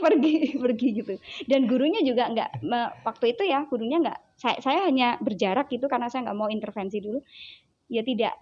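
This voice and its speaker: A woman with a Javanese accent tells a story in a relaxed interview chat, and she slips into her child's voice as she talks. Her voice sits a bit high. The recording sounds dull and lo-fi. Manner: animated storyteller who acts out her child's words. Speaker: child psychologist guest.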